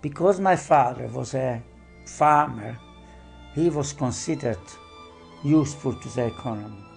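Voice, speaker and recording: A man in his eighties from Slovakia, giving measured, halting testimony as a Holocaust survivor in a documentary. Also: medium pitch, gravelly, faint music behind. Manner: measured, halting recollection with pauses.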